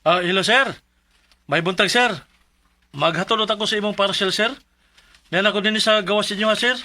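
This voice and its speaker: Young cebuano delivery rider, high voice, very polite and humble, radio drama. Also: male voice, normal speed, sharp tone. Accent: Cebuano Filipino. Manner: deferential, pleading. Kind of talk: Bisaya radio drama acting.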